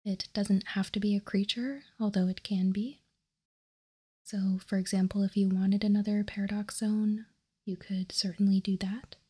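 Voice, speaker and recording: A woman whispering softly and calmly in an ASMR style, explaining and reading out text as a host, close to the microphone. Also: mid-range voice, slightly rough. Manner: soft, unhurried, calm explaining. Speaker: host of card-game ASMR channel.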